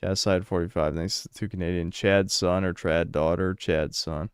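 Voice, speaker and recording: Low, blunt, crude male streamer. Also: steady tone, normal speed, clear, resonant tone, no echo, studio quality. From United States. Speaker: livestreamer reading donations. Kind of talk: casual stream chat.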